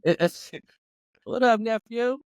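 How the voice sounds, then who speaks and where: A man on a casual podcast, talking in animated bursts with stammering restarts and dropping low when he turns reflective. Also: slow pace, clear, resonant tone, dry recording, clean studio sound. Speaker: podcast co-host.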